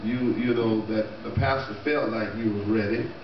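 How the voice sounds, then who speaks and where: Middle-aged pastor chatting from the pulpit. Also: male voice, fairly low pitch, steady tone, normal speed, noisy background. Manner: chatty storytelling with laughs and repeated amens. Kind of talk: casual talk from the pulpit. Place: small church sanctuary, indoors. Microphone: podium mic at a distance. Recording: echoey church room, distant camera mic, dull.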